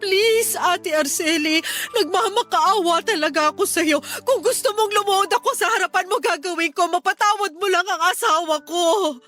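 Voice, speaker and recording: High-voiced pleading young wife. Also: female voice, moderate pace, pushed and bright. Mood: tearful begging. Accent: Filipino. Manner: pleading, earnest, emotional. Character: pleading, desperate wife and mother, younger sister-in-law. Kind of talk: Filipino radio drama acting. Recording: music under the dialogue.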